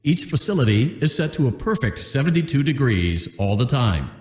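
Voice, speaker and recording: A man's voice: very animated, moderate pace, lightly acted. A man voicing a glib corporate game announcer. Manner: upbeat, sales-pitch polish. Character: cheery male corporate training announcer, glib. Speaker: company announcer. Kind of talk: scripted game voice lines. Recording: roomy echo.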